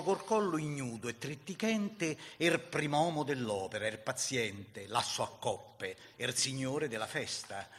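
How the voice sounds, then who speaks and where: Man playing a cocky Roman commoner, bright and forceful. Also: mid-range voice. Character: cocky Roman commoner, regular at public guillotine executions. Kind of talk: theatrical dialect verse recitation.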